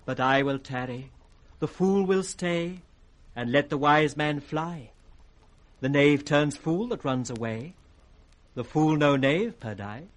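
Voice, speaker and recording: An actor playing an impudent, loyal court fool in radio Shakespeare, teasing and riddling in a sing-song way. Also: male voice, a little high, slow pace. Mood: wry sing-song. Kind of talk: 1960s British radio Shakespeare acting.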